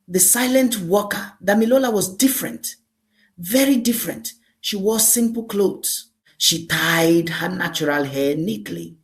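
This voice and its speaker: A woman narrating a scripted dramatic folktale as a storyteller, speaking deliberately in short, emphatic phrases. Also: fairly low pitch.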